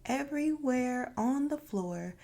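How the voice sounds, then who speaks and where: A woman narrating a children's storybook expressively, with deliberate, dramatic phrasing for young listeners. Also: high voice, lively intonation, gravelly, mellow. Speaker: children's read-aloud narrator and former teacher.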